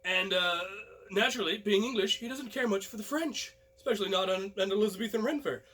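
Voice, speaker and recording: A man telling a personal anecdote casually, in an animated storyteller's way. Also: high voice, fairly quick, slight background noise. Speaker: storyteller, host of a story series.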